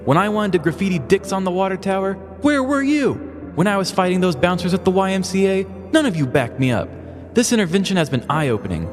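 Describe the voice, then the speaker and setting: A man voicing a brash, defiant young party girl, loudly self-righteous, in a comedic storytelling podcast. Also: sits high, very animated, brisk, big-room sound, soft background music, pitched above the performer's own voice. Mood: indignant, defiant.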